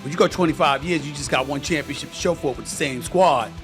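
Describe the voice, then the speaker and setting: Emphatic commentator guy in a played clip, clear music underneath, a bit high-pitched. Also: fast talker, a bit bright. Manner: emphatic, rhetorical questions to the listener. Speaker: sports commentator answering fan questions. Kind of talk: opinionated commentary.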